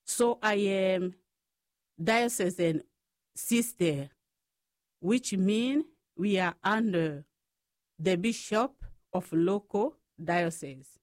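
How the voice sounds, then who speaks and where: Slow-spoken woman with a Congolese accent. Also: medium pitch, no room sound, clean studio sound. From Democratic Republic of the Congo. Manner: halting phrases in non-native English grammar. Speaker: guest, Franciscan sister. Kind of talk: personal storytelling in an interview.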